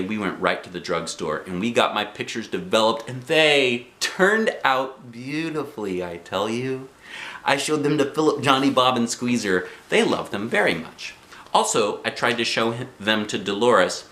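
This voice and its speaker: An excitable, boastful little first-grade girl , voiced by a man reading a storybook, narrates her journal with earnest drama. The delivery swoops up and down with drawn-out emphasis, in a roomy space. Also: medium pitch. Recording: roomy home recording.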